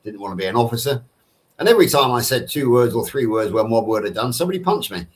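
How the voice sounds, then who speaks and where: A man's voice: medium pitch, expressive, fast talker. A middle-aged man from Somerset with a nondescript Southern English accent, chatting casually on a livestream and answering viewers in a wordy, self-rephrasing way. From Somerset, England. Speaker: panel participant on a livestream. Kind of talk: casual live chat, answering viewers' questions.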